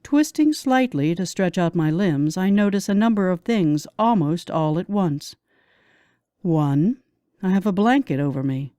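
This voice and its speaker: A woman narrates a novel in the first person with a slightly low voice. Her reading is scripted and expressive, leaning into the drama of the story. The sound is dry, with very little room around it. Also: unhurried, dry recording. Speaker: audiobook narrator.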